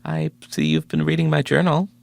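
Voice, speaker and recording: An actor playing a skeptical, sarcastic station director in a science fiction video game, sardonic and clipped. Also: male voice, on the high side, sing-song, normal speed, vocal fry, slight background noise, full character voice. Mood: dry, disbelieving.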